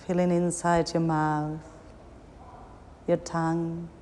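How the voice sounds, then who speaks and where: A woman guiding a yoga nidra meditation, giving soothing, unhurried instructions with long pauses between short phrases. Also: on the low side, level tone, a little mellow. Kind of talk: guided meditation. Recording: long reverberant hall, background noise.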